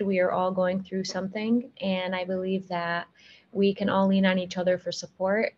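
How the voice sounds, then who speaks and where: Woman in her 30s, arabic native speaker, earnest talk with lots of ums, kinda muffled video call sound. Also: medium pitch. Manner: earnest, with frequent um fillers. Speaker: guest speaker on addiction.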